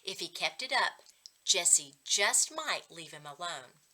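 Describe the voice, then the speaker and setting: A woman reads a storybook aloud with a bright, clear-cut edge to her voice. Her narration is expressive and her pitch moves in a lively way, as a coach would read to children. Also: measured pace.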